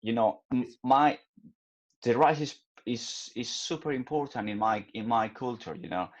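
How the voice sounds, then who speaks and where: A man from Ecuador, a chef on a panel, speaking haltingly with many restarts. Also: mid-range voice.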